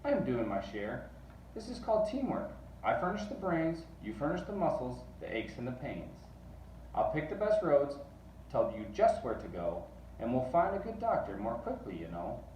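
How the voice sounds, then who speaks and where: A man's voice: medium pitch, sing-song, normal speed, darkish tone, natural voice. A man voicing a breezy, bossy, confident chap in a children's storybook. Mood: smug, bossy. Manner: confident, persuasive, smug. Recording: distant mic, roomy echo, faint noise.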